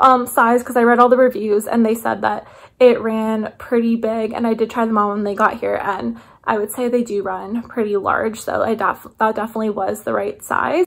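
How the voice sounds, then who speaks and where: A woman, chatting casually and enthusiastically to camera like a vlogger. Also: on the high side. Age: 20s. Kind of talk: casual haul chat to camera. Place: small living room indoors.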